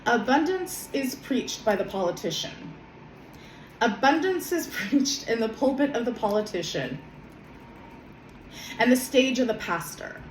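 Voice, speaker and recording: Woman a bit hoarse from a cold, soft and halting, lots of ums, echoey room w faint hum. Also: on the high side, lively intonation. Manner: soft, hesitant, many ums and self-corrections. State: slightly hoarse from a summer cold. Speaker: vlogger. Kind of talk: casual heartfelt talk and reading her own journal.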